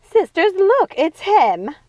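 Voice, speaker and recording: A woman voicing a bossy, excitable witch sister in a storybook, emphatic and exasperated. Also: very high voice, slow pace, no echo, voice pushed up, exaggerated voice. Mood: urgent, snappy.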